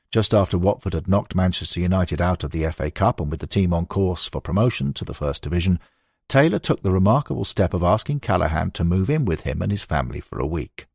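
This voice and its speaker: Low-pitched man reading an audiobook. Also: a little mellow, no room sound, studio quality. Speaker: audiobook narrator. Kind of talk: scripted narration of a football biography.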